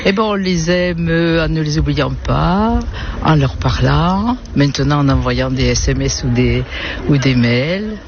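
An older woman from Toulouse with a soft Toulouse accent, answering a radio interview with frequent laughs and a habit of repeating "voilà". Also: low-pitched, animated, a little slow. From Toulouse, France. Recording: lo-fi with background noise.

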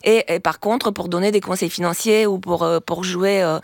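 Woman with a gravelly, dry studio voice. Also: on the low side, steady tone, no room sound. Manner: measured, explains step by step with small restarts. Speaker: guest expert on accents. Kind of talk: explanatory radio talk.

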